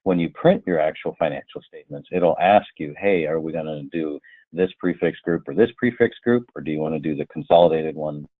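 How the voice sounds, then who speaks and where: A man presenting a software training webinar, explaining slide by slide in a conversational way with hypothetical examples. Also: rumbling low, brisk, no echo. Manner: explanatory, illustrates with hypothetical quotes. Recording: muffled, tinny phone-like line.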